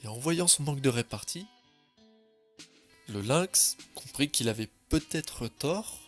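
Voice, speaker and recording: A man's voice: medium pitch, expressive, a little slow. Composed male storyteller over light music. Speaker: narrator. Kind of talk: scripted story narration.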